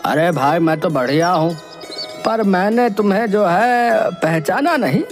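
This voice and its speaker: A man's voice: high-pitched, expressive, unhurried, music in the background, pitched above the performer's own voice. A man voicing a friendly, chatty village uncle in a cartoon, exclaiming in a folksy drawl. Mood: surprised, friendly.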